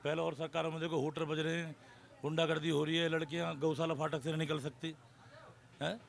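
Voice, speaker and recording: Male passer-by, fast and creaky, slightly high, casual and argumentative with rhetorical questions. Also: level tone. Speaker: member of the public. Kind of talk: casual street opinion. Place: outdoors on a street.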